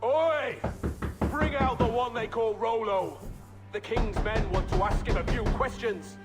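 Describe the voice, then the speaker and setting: Shouting, high-pitched Saxon soldier. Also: male voice, a little slow. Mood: hostile. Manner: shouting, menacing. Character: aggressive Saxon soldier, king's man hunting Danes. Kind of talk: video game cutscene acting. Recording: distant voice under loud music.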